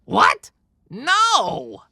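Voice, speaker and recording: An actor playing an excitable, stubborn, wisecracking sidekick of Santa's in an audiobook drama, with a creaky, bright voice. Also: high voice, extremely slow, no room sound, clean studio sound, exaggerated voice. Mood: indignant. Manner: sarcastic, animated, mouthy. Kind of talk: cinematic audiobook character acting.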